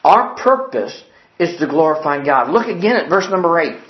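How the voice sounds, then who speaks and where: A fairly high-voiced man preaches in a church with an earnest, emphatic delivery and repeats his key phrases. His voice rings around a roomy hall. The recording sounds dull and lo-fi. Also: lively intonation, moderate pace. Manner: quotes old-fashioned scripture wording. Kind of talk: sermon. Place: church building.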